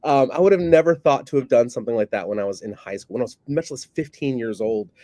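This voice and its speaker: A man's voice: medium pitch, fast talker, dark tone, dry recording, clean studio sound. A man hosting a podcast interview, enthusiastic and complimentary, often repeating and restarting his words. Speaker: podcast host.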